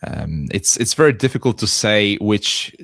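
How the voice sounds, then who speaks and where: A man's voice: on the low side, lively intonation, moderate pace, dry recording. A man in his twenties from Poland, a band member speaking hesitantly in a casual interview and searching for words. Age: 20s.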